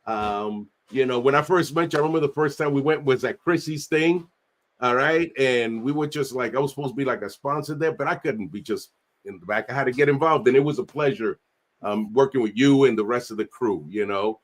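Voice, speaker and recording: A man, hosting a podcast with energetic, streetwise talk sprinkled with Spanish. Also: mid-range voice, a little fast. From New York. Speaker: podcast host. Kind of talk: casual interview chat.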